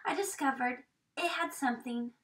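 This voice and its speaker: A woman in her thirties reading a picture book aloud expressively, with dramatic hushed emphasis, recorded from a camera across a small room. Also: high voice, normal speed. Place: small indoor room with bookshelves. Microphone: camera a few metres away. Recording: distant microphone in a room.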